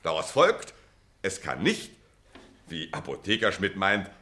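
A man's voice: low voice, wildly swooping pitch, unhurried, sharp tone, exaggerated voice. An actor playing an earnest middle-aged man who argues intellectual points with insistence in an acted drama. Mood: insistent. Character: earnest, argumentative middle-aged former pupil. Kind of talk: acted radio-play dialogue.

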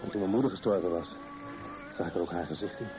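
A man's voice: deep voice, fast talker, narrow, tinny audio, natural voice. An actor playing a young husband and expectant father in a radio play, affectionate and eager, talking in an intimate, conversational way. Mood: nostalgic. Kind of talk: naturalistic radio play dialogue. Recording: thin old radio recording, music behind.

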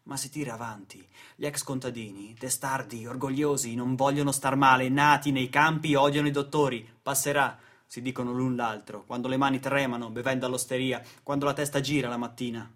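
A man's voice: mid-range voice, steady tone, brisk, hardly any pauses, fairly bright tone. A man delivering dramatic scripted narration for radio theatre in a solemn, theatrical manner, with short weighted phrases. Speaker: narrator and stage actor.

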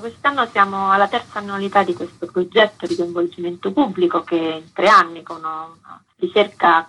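A woman's voice: fairly low pitch, bright, forceful tone, breathy edge, low-quality recording. A woman explaining a project in long, flowing sentences as a guest in a radio interview.

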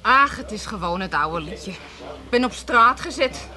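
A woman's voice: a little high, expressive, measured pace, bright, forceful tone, full character voice. A young woman with an Amsterdam accent playing a feisty, cheeky city girl in a radio comedy, sassy and colloquial. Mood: downcast, exasperated. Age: young adult. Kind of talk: 1980s radio comedy acting.

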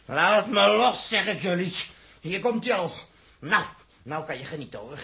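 A man acting a defiant, bitter young spaceship crewman with a creaky voice in a 1960s Dutch radio drama. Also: high-pitched, expressive, normal speed, bright, forceful tone, heavily acted. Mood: bitter, furious.

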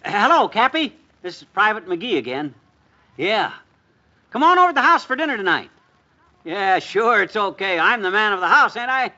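An actor playing an excitable, boastful American husband and war veteran in a folksy, blustering 1950s radio comedy style. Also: male voice, high voice, normal speed, exaggerated voice. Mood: excited, boastful. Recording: muffled vintage radio recording.

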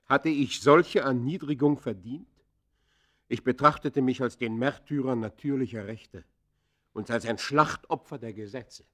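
An actor playing an embittered ex-convict in a radio play, confessing in theatrical, literary that swings from hushed to fierce. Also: male voice, mid-range voice, unhurried, natural voice. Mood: bitter, wounded pride. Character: embittered male ex-convict confessing his descent into crime. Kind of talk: German radio play acting, literary monologue.

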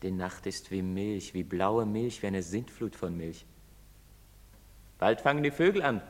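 Young outcast son, bitter and intensely scornful, theatrical German drama delivery, very reverberant space. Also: male voice, sits low, animated, unhurried, a little hiss or hum. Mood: brooding. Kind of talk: German stage drama acting. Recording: very reverberant hall or stage.

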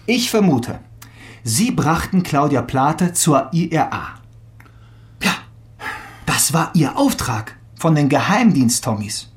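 A man's voice: on the high side, very animated, slow, pauses often. A man playing an eager, teasing young police detective in a naturalistic German radio crime drama, with a wry, conversational delivery. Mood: pressing.

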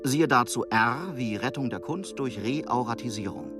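A man reads a scripted literary text in a mid-pitched voice. Guitar music runs clearly behind him. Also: normal speed. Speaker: narrator.

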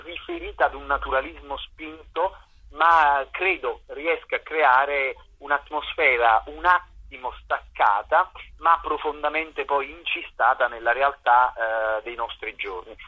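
A man's voice: mid-range voice, measured pace, breathy edge, narrow, tinny audio. A man giving a radio interview as a theatre director, talking expansively and searching for words in long winding sentences. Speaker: guest, theatre author, director and actor. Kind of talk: radio interview, spoken off the cuff.

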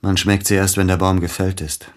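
A man's voice: deep voice, little pitch movement, a little fast, close to an everyday voice. A man playing an aging, bitter, melancholy celebrated pianist in a radio play, speaking in a formal, brooding, theatrical way. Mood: bitter, wistful.

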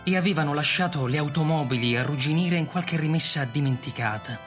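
A man's voice: a little high, brisk, a bit bright. A man narrating a scripted radio drama as a storyteller. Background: music.

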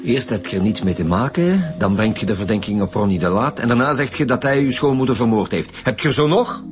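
An actor playing a blunt, impatient police detective in a Flemish radio drama, pressing hard as an interrogator. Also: male voice, mid-range voice, animated, a little fast, close to an everyday voice. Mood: stern, pressing. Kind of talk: naturalistic radio drama acting.

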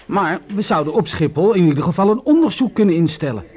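High-voiced, eager young police rookie. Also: male voice, expressive, fast, darkish tone. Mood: deferential, keen. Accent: Netherlands Dutch. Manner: hesitant, puzzled, questioning. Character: eager, naive young male police rookie, deferential to superiors. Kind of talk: Dutch radio play acting.

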